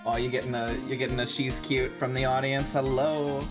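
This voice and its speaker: Very low-voiced woman streamer. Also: little pitch movement, fairly quick. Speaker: streamer commenting on a group game playthrough. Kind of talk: casual live-stream banter. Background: game music.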